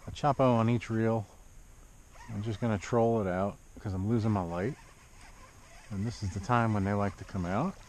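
Excitable man fishing from a kayak. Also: lowish voice, normal speed, long pauses. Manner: excited exclamations and muttered asides. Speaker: fishing vlogger. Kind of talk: casual fishing commentary. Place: kayak on a lake outdoors. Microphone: action camera mounted on the kayak. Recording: dull outdoor action-camera audio with wind and water noise.

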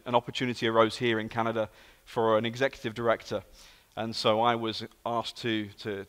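Man, British London accent, thirties, earnest lively sermon, long church reverb. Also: fairly low pitch. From England. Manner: earnest, storytelling, lively emphasis. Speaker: guest speaker. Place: large church sanctuary. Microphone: handheld and stage mic.

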